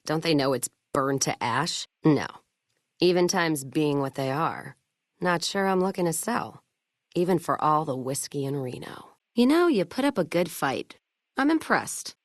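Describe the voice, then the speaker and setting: A woman playing a hard-drinking, sharp-tongued American caravan owner in a post-apocalyptic western game, with blunt, sardonic, folksy profanity. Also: sits low, dry recording, clean studio sound. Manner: blunt, sardonic, profane frontier drawl with folksy turns of phrase. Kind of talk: video game character dialogue.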